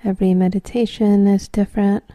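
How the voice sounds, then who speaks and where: A woman guiding a body scan meditation in a soft voice, with gentle, unhurried short phrases and a little breathiness as they end. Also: medium pitch, little pitch movement, no room sound. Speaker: meditation guide.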